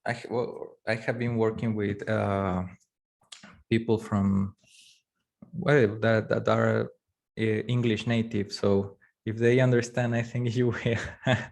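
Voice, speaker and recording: A man whose first language is Spanish, teaching a live online course, talking in a halting way with many fillers and restarts. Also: fairly low pitch, little pitch movement, vocal fry, darkish tone. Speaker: course instructor. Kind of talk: live online class lecture.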